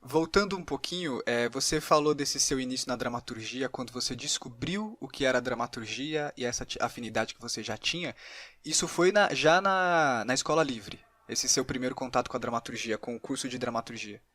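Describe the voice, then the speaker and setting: A man with a Brazilian Portuguese accent hosting a casual podcast interview, thinking aloud with halting, repeated words. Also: medium pitch, a bit bright, no echo.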